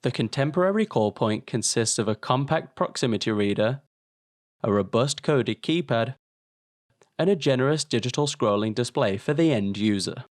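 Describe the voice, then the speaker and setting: A man reading a scripted promotional product voice-over in a polished, measured, presentational way. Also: mid-range voice, lively intonation, no room sound, clean studio sound. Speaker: product video narrator.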